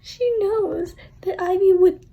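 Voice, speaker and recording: A woman's voice: extremely high pitch, slow pace, dull audio, a little hiss or hum. A teenage girl and author vlogging casually, rambling in a stressed, self-mocking way with a sleepy-sounding voice. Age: teens. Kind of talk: casual vlog chat.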